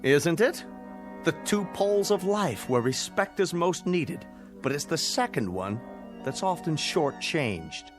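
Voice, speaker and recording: A stern scientist in a radio drama. He speaks formally and deliberately at a middling pitch, with cold sarcasm and a vengeful edge. Music plays softly underneath. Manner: measured, formal, sardonic, deliberate. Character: stern, vengeful scientist brother-in-law, coldly sardonic.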